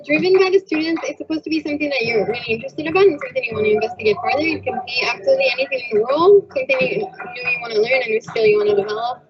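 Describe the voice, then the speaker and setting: A woman's voice: high voice, animated, very fast, few pauses. A teenage girl student earnestly explaining how projects work. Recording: dull lo-fi audio with loud crowd.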